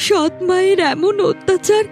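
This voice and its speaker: Cartoon casting: helpless, plaintive young girl, very high voice, meek and pleading, faint music underneath. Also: normal speed. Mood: sorrowful. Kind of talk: cartoon fairy-tale acting.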